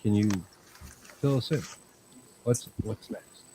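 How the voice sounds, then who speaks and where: A man hosting a live chat show, talking in a calm, rambling way with many fillers. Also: fairly low pitch, slow, breathy edge, low-quality recording. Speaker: host. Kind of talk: casual livestream chat.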